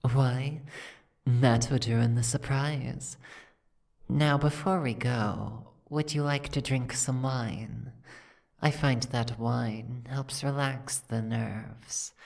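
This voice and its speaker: A woman playing an imperious, seductive dragon aristocrat in an ASMR roleplay, with languid, commanding, formal speech and a breathy, gravelly voice. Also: rumbling low, steady tone, slow pace, a little mellow, heavily acted. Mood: pleased, charmed. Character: imperious seductive female dragon, possessive aristocratic mansion owner. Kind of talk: ASMR roleplay, scripted second-person acting.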